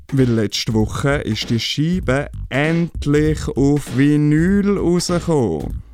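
Unhurried Swiss German radio host. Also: male voice, medium pitch, darkish tone. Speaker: radio music show host. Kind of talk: casual music show moderation.

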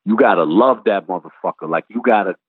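Over a narrow phone-like line comes a man with a very deep voice and a Brooklyn accent. He talks as an interview guest in a casual, slangy way, telling stories and repeating phrases for emphasis. The sound is close and dry. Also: fairly quick, husky, no room sound. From Brooklyn, New York. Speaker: guest, rapper.

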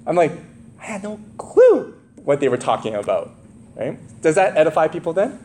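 Male preacher, slightly high voice with big pitch swings, explaining scripture and checking in with listeners, light noise behind. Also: wildly swooping pitch, moderate pace, warm tone. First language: English. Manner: asks the congregation questions, frequent check-in tags like "right?" and "okay?". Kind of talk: expository sermon.

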